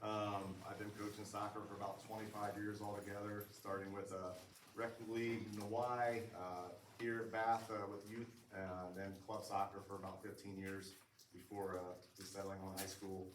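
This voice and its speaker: A man, a high school soccer coach, speaking hesitantly at a school board meeting. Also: level tone, normal speed, background noise. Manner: hesitant, many uh fillers. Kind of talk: casual presentation. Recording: echoey hall from far off.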